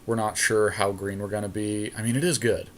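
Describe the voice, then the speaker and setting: A man's voice: lowish voice, little pitch movement, brisk, a bit bright. A man co-hosting a gaming podcast, giving casual commentary on an online card game with a friend and griping dryly with the odd mild swear.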